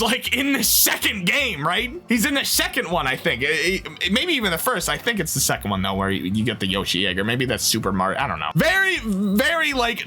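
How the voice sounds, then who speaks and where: Animated, rambling young man. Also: high-pitched. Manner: animated, rambling, self-interrupting. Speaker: movie reviewer. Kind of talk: casual rambling review.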